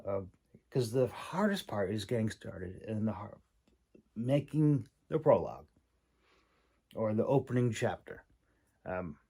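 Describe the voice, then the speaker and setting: A man giving an informal, rambling critique as a host, speaking haltingly with long pauses and repeated words. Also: lowish voice, warm tone. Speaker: host, independent fantasy writer and audiobook reader giving critique.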